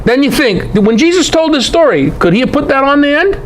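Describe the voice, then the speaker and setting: High-pitched, animated man lecturing. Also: a little fast, a little hiss or hum, low-quality recording. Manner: emphatic, rhetorical questions to listeners, irreverent. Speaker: Bible teacher, presenter. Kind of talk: informal lecture on scripture.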